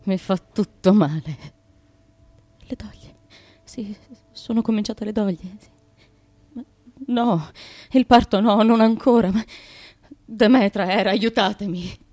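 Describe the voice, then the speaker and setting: A woman's voice: mid-range voice, measured pace, long pauses, dark tone, no room sound, close to an everyday voice. A woman acting the bewildered, lost wife of an ancient Greek tyrant, in a disoriented, questioning, fragmented theatrical monologue for radio. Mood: pained, frightened.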